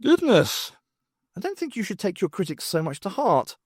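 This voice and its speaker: A wry, friendly woman academic, read by a male narrator in an audiobook. The delivery is teasing and lively, pitched on the high side, with a dry sound. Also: moderate pace, slightly rough, no echo, exaggerated voice.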